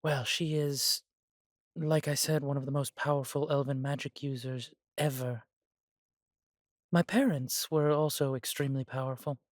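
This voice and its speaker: A man playing a warm, humble elf brother in a scripted fantasy audio roleplay, speaking in measured, slightly formal phrases. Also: mid-range voice, fairly even intonation, no echo, clean studio sound, lightly acted. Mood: fond, reflective. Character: warm, humble elf brother without magic, devoted to family.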